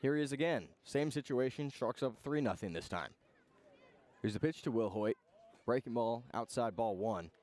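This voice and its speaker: A man doing live radio play-by-play of a baseball game in clipped phrases, with pauses between calls. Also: medium pitch, darkish tone. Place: outdoor ballpark broadcast.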